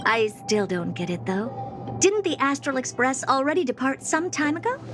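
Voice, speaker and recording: Imperious young female scientist: high, dismissive, exasperated game dialogue over clear music. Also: animated, normal speed. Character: haughty, impatient young woman genius scientist. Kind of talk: video game voice acting.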